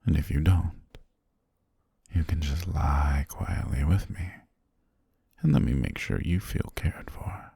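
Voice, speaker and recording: A man playing a calm, possessive governor soothing his pet in an ASMR roleplay, breathy and half-whispered, slow, gentle and softly commanding, very close to the mic. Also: rumbling low, dry recording, exaggerated voice. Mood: reassuring, possessive. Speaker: ASMR voice actor. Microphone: very close, dry microphone.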